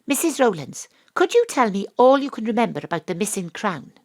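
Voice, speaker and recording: A woman's voice: medium pitch, sing-song, fairly quick, darkish tone. An actress playing a dry, sardonic woman detective who questions suspects and narrates her case in an audio drama. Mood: probing, brisk.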